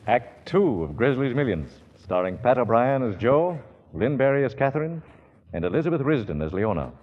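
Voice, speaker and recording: A man narrating a radio drama as a 1940s announcer, formal and measured. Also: medium pitch, very animated. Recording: dull vintage radio, loud orchestral music.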